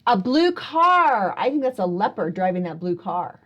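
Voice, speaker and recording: A woman's voice: mid-range voice, very animated, moderate pace, a bit bright. A woman hosting a picture book storytime for young children, playfully asking questions and exclaiming with wonder. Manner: playful, prompting listeners with questions. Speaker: children's librarian and storytime host. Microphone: speaking close to a camera.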